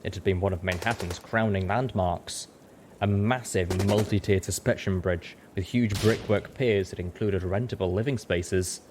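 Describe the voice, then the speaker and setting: A man's voice: fairly even intonation, normal speed. A man reading a story aloud as a dramatic audiobook narrator. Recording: rumbling background noise.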